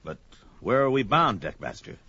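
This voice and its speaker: An actor playing a brawny, hot-tempered barbarian in a pulp fantasy audio drama, proud and defiant in archaic hero speech. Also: male voice, medium pitch, sing-song, normal speed, vocal fry, sharp tone, slightly rough, full character voice. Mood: dazed, bewildered. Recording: dull lo-fi with music behind.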